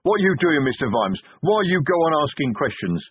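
Man voicing a gruff troll sergeant. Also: medium pitch, lively intonation, measured pace, no room sound, voice pushed up. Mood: angry. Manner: broken grammar, gruff and insistent. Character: big, proud, touchy male troll sergeant. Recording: tinny phone-like dry recording.

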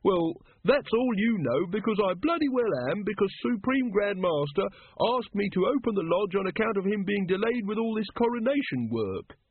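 A man puts on a pompous male cult leader in a comic storybook. He is haughty and exasperated, with a raised, very animated pitch. The recording sounds narrow-band. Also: unhurried, breathy edge, dry recording, full character voice. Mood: haughty, peeved.

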